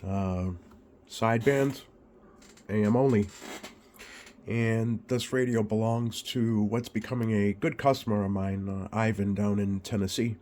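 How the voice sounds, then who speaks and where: Slightly scratchy, low-voiced man with a cold. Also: fairly even intonation, darkish tone. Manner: hesitant, unhurried, thinking aloud. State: getting over a cold. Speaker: radio repair technician and video host. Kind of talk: informal bench demonstration vlog. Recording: clearly audible background noise.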